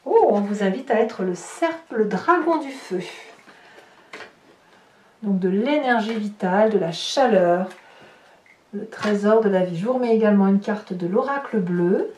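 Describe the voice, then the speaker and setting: A woman's voice: mid-range voice, darkish tone. A woman giving an informal tarot reading to viewers, chatting and thinking aloud as she handles the cards. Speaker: tarot reader and channel host. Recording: roomy, distant mic with light noise.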